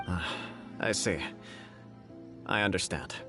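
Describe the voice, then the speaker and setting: An actor playing a dignified, middle-aged government official in a video game, speaking in a formal and polite manner. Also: male voice, deep voice, slow. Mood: courteous, accepting. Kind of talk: video game character dialogue. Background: background music.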